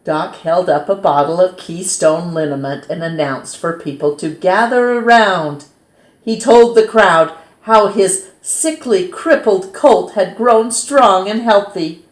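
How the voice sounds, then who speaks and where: Woman, lowish voice, unhurried children's storytelling, expressive read-aloud, roomy echo. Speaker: storytime host reading a children's book aloud. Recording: roomy, echoing sound.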